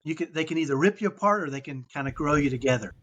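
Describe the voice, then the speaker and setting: A man's voice: a little high, lively intonation, rapid-fire, a bit bright, dull audio. A man from Texas with a slight Texan accent, a podcast guest telling his story in casual chat with many restarts and fillers.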